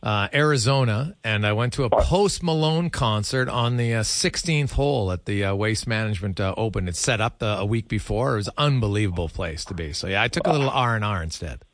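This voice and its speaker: A man's voice: fairly low pitch, animated, a little fast, hardly any pauses, no echo. A man hosting a sports radio interview in a casual, chatty way, often repeating phrases as he thinks aloud. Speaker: sports radio show host.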